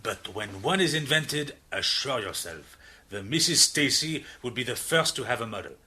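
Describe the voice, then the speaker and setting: An actor playing a big, expansive, reformed French criminal in a radio drama, with a French accent and an effusive, theatrical manner. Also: male voice, medium pitch, expressive, normal speed, pushed and bright. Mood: jovial, welcoming. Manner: effusive, theatrical, with hesitant asides.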